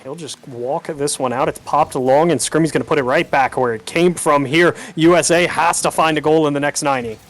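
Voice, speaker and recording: A man's voice: on the high side, brisk, few pauses. A man working as an esports caster, giving live commentary on a video game match. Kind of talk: live Rocket League commentary.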